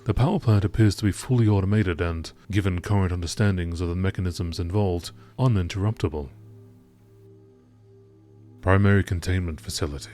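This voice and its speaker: Low-voiced man narrating documentary style. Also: mellow. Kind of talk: scripted nature documentary-style reading of game lore.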